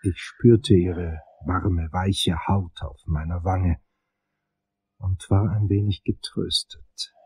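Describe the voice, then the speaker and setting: An actor playing a brooding, infatuated investigator, narrating a crime radio play in a measured, intense manner. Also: male voice, low voice, steady tone, darkish tone, husky, exaggerated voice, poor audio.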